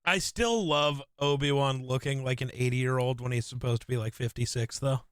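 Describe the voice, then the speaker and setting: A man co-hosting a casual podcast, an excitable fan who swears casually. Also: medium pitch, normal speed, smooth, no echo. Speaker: podcast co-host. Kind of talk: casual chat.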